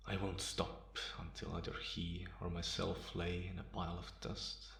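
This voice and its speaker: A man playing a bitter, guarded, centuries-old vampire in a slow ASMR roleplay monologue with measured pauses. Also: deep voice, completely flat delivery, vocal fry, fairly bright tone. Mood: vengeful, brooding. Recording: roomy, echoing sound.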